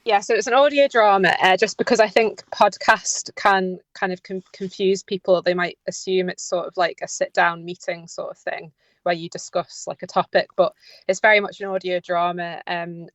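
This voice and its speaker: A woman writer answering interview questions conversationally, in a somewhat halting way. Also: fairly low pitch, dry recording. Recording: slightly dull, dry sound.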